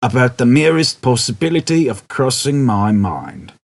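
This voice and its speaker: Man putting on a gravelly, flustered pompous official. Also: mid-range voice, sing-song, normal speed, no room sound, pitched above the performer's own voice. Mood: indignant. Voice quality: growling, gravelly. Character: pompous, flustered male official, grumbling and indignant.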